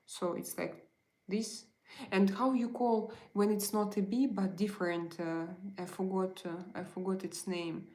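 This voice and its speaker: A woman's voice: medium pitch, steady tone, measured pace, a little mellow, echoey room. A woman with a Russian accent and Russian as her first language, hosting a casual livestream, chatting with viewers and answering their messages with many hesitant fillers. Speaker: livestream host.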